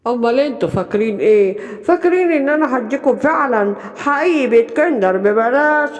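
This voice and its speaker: A woman voicing a greedy, wicked witch for a children's cartoon, bossy and scheming, with an Egyptian accent and drawn-out phrases. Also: high-pitched, animated. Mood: menacing, bossy. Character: wicked greedy witch luring and bossing young animals. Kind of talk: children's cartoon storytelling in colloquial Arabic. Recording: long hall-like reverb.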